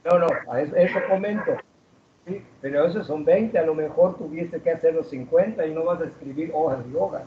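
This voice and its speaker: A man's voice: a little high, brisk, a little hiss or hum. Distant male teacher in an echoing classroom. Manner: asks students questions, drags out key words. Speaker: math teacher. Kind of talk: classroom lecture. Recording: roomy, distant, dull classroom recording.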